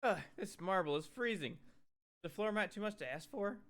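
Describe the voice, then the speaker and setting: A man's voice: high-pitched, expressive, moderate pace, fairly bright tone. A man doing a casual voiced playthrough of a visual novel, reading and reacting in a sarcastic, slangy way. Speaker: gaming video creator.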